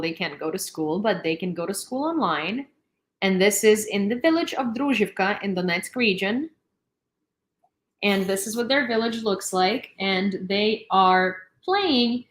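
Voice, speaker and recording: A young woman from Ukraine with a Ukrainian accent, hosting a casual livestream commentary, sarcastic and animated, laughing between remarks. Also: mid-range voice, echoey room. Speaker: news channel host.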